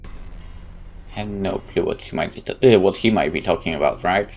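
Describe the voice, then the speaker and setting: A man with a fairly low voice narrates a visual novel as a let's player. He slips between reading and casual, wry commentary while game music plays faintly. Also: normal speed, creaky, a little mellow. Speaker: gaming YouTuber.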